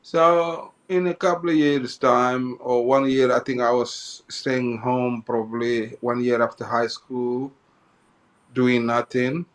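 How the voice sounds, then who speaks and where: A man from Somalia, a guest in an interview telling his life story, often halting and repeating phrases as he goes. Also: mid-range voice, steady tone.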